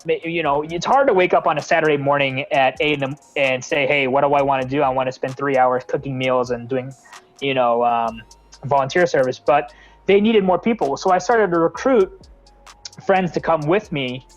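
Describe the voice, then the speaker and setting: A man's voice: fairly high pitch, normal speed, soft background music. A young American man from the Bay Area, a nonprofit founder being interviewed, talking earnestly with many fillers and restarts. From San Francisco Bay Area. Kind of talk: casual interview talk.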